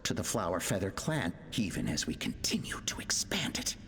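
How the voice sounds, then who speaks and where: Driven male research leader, game log narration: dramatic swings in pitch, long reverb. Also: big pitch swings, moderate pace, fairly bright tone. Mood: visionary. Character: grave, driven research leader reading out experiment logs. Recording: long hall reverb, faint music.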